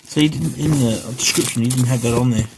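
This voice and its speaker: A man's voice: mid-range voice, a little fast, vocal fry, noisy background. A middle-aged man chatting casually through a radio unboxing and repair, rambling with fillers and restarts. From Australia. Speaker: hobbyist vlogger.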